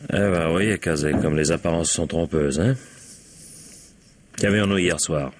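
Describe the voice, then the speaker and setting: Very deep, wry police inspector. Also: male voice, a little slow, slight background noise. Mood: wry, musing. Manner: ironic, prodding, drawling interjections. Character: wry, dogged, sardonic male police inspector. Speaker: actor in a radio crime drama. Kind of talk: French radio mystery acting.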